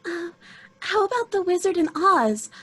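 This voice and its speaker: A woman voicing a timid young girl in a live radio play, speaking in a hushed, wondering way. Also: very high voice, very animated, a little slow, slight background noise, heavily acted.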